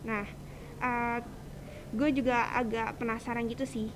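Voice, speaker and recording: High-voiced teenage Jakarta woman hosting casually. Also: level tone, unhurried. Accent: Jakarta Indonesian. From Indonesia. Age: teens, high school student. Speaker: student podcast host. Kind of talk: casual chat, interviewing. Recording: faint background ambient noise.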